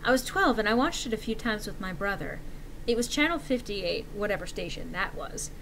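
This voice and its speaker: A matter-of-fact online poster read by a woman pieces together old memories in a horror tale. The voice is mid-pitched and conversational, with background noise. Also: lively intonation, a little fast, a bit bright, rough recording. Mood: thoughtful recall. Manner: conversational, recollecting. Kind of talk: dramatic reading of forum posts.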